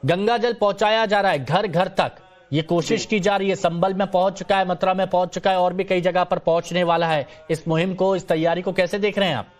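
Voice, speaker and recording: A man's voice: high-pitched, fairly even intonation, a little fast. A man hosting a television news debate, interjecting and appealing to panelists for order as he moderates.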